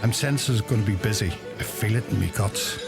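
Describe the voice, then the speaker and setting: A man's voice: lowish voice, fairly quick, creaky, over music. A man playing a gossipy, self-important village publican with a Donegal Irish accent in a radio play. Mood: grumbling.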